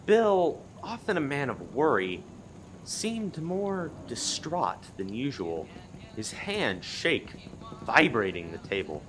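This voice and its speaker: A man's voice: mid-range voice, sing-song, slow pace, a bit bright, a little hiss or hum. A man reading dramatic scripted narration for a comic radio play.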